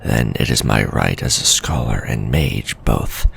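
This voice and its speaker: A man voicing an obsessive male scholar-mage who reads his letters home in a formal, soft whisper for an ASMR story. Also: very deep voice, unhurried, vocal fry, a little hiss or hum, heavily acted. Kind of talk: whispered ASMR roleplay reading.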